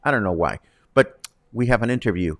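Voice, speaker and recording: A man's voice: on the low side, expressive, a little fast, no echo, studio quality. A man presenting as a show host in a chatty, conversational way with wry asides. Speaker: host presenting news and interviews.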